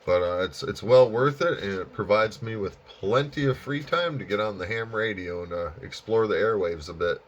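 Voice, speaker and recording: Low-voiced American man, slightly muffled recording. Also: normal speed, hardly any pauses, noisy background. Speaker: amateur radio operator and channel host. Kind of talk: casual ham radio chat. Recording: dull, lo-fi sound.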